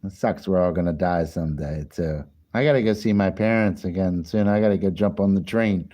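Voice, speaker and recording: A man's voice: low-pitched, mellow, highs cut off. A tired man chatting loosely on a late-night livestream, drinking and smoking as he rambles. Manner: rambling, slow and drawn-out, drinking and smoking on stream.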